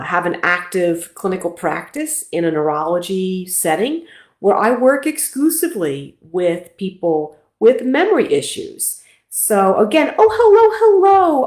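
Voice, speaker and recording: A woman's voice: medium pitch, expressive, unhurried, darkish tone, reverberant. A middle-aged woman from Philadelphia, a nurse practitioner hosting a casual livestream talk in a chatty, self-deprecating way.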